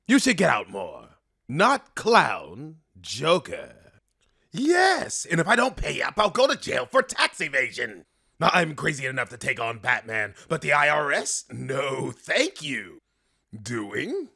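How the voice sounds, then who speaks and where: A crazed clown villain performs for a cartoon. The voice is gravelly and piercingly sharp, lurching up and down as he stretches his words. He is theatrical, gleeful and full of menace. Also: fairly high pitch, wildly swooping pitch, dry recording, clean studio sound, pitched above the performer's own voice, heavily acted. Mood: manic, joking. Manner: theatrical, unhinged, drawn-out.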